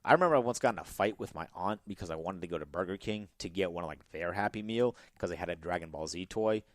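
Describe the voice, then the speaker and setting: A man's voice: low-pitched, fast, no room sound, clean studio sound. A man hosting a podcast, bantering casually and reading out offbeat headlines. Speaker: podcast host. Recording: music playing behind the talk. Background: music.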